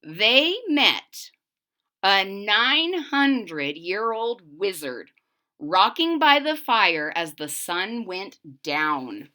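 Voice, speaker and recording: A woman reading a children's story aloud expressively and dramatically, with asides to her listeners. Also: medium pitch. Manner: animated, dramatic, questioning listeners. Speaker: storybook reader.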